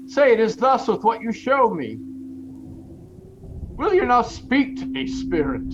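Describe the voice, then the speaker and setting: Elderly repentant miser: high voice, halting theatrical delivery, audio drama with clear background noise. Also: male voice, dull audio, full character voice. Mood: dread, sorrow. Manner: halting, stammering, fervent exclamations. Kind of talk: theatrical audio-play acting. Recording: noisy audio-play recording.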